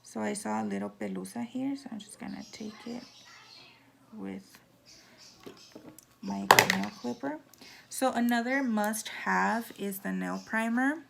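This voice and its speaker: A woman with a hoarse, raspy voice giving a casual at-home nail how-to, chatty with lots of fillers. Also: mid-range voice, a little slow, pauses often, noisy background. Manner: chatty, filler-heavy, drops into whispers. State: hoarse from talking. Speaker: nail tutorial vlogger.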